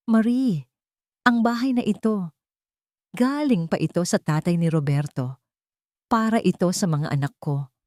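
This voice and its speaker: A woman's voice: lowish voice, lively intonation, a little slow, pauses often, a little mellow, no echo, clean studio sound. Woman voicing a hesitant, confused old grandmother. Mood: pleading. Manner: faint, hesitant. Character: frail, confused elderly grandmother, gently protesting.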